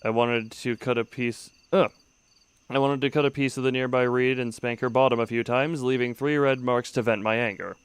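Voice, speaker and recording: Clear-voiced male game streamer. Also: medium pitch, fairly even intonation, normal speed, no room sound. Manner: breaks off mid-sentence and restarts, wry asides. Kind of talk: let's-play commentary and reading aloud.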